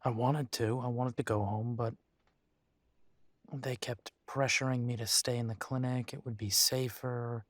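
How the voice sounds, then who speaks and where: A man playing a troubled, tender werewolf boyfriend in an intimate roleplay audio drama, talking haltingly with sighs. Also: mid-range voice, flat delivery, a bit bright, no room sound, close to an everyday voice. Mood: troubled, torn.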